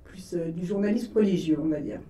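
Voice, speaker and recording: Mellow Parisian woman, roomy and off-mic. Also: on the low side, a little fast, far from the mic. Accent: Parisian French. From France. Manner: relaxed, laughs easily. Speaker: guest. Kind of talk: radio interview.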